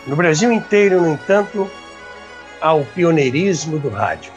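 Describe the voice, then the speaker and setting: A man's voice: on the high side, big pitch swings, moderate pace, highs cut off, soft background music. A man presenting a show, addressing his audience.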